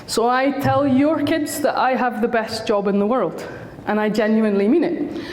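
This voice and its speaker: A woman's voice: on the high side, fairly even intonation, moderate pace, darkish tone, a little hiss or hum. A Scottish woman working as a school counsellor, giving a friendly, chatty talk to parents with a slightly sore throat. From Scotland. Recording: echoey hall recording.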